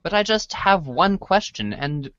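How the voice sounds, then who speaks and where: Man playing an earnest dorky boy, slow and expressive. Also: high voice, dry recording, highs cut off. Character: earnest, dorky boy chatting online, a movie fan. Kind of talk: character voice in an amateur read-along drama.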